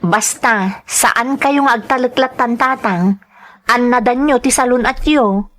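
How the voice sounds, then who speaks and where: A caring daughter played by a woman in an Ilocano radio-style drama. Her voice sits fairly high, and she speaks with earnest, worried concern, pleading and solicitous toward her parents. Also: measured pace, fairly bright tone. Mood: concerned, chiding.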